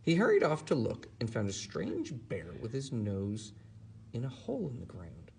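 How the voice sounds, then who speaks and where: A man reading a bedtime story to a child, expressive, with drawn-out emphasis on key words. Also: mid-range voice, gravelly, breathy edge.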